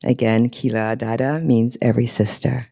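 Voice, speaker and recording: Woman, moderator, warm mellow tone, gracious, light humor. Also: extremely low pitch, fairly even intonation, normal speed. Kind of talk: panel introductions.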